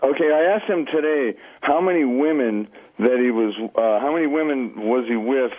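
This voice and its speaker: A man's voice: mid-range voice, normal speed. Male caller on tinny phone line. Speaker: radio show caller. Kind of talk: casual questioning. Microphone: telephone.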